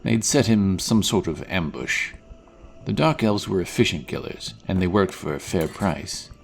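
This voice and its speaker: A man narrating a fantasy audio drama in a steady, even storytelling manner. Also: low-pitched, a little slow, gravelly, slight background noise. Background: music.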